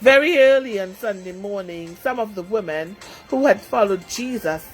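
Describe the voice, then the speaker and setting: A woman storyteller reading a scripted children's story with expressive narration. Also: medium pitch, normal speed, soft background music, poor audio.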